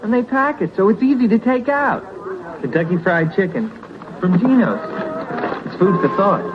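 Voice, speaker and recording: A man's voice: sits high, lively intonation, moderate pace, lo-fi sound, crowd noise. A man playing a keen student in a 1970s radio commercial on an old, slightly noisy broadcast. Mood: upbeat pitch. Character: keen student plugging a takeout meal.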